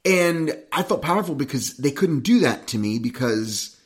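A man's voice: mid-range voice, normal speed. A man co-hosting a podcast in casual chat, telling stories with jokes and teasing. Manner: animated, joking storyteller. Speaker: podcast co-host.

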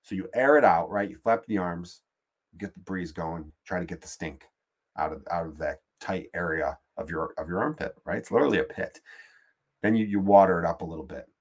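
A man with a Chicago accent rants through a comic podcast monologue, swearing freely and circling back on his own sentences. His voice sits a bit low, and he sounds fed up, loose and chatty. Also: brisk, husky. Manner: profane, exasperated, rambling. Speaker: podcast host. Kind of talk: comedic monologue rant.

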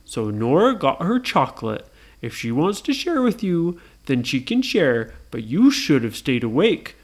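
A woman voicing a big, friendly but firm monster in a children's story, with emphatic, drawn-out words. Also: low voice, very animated, heavily acted.

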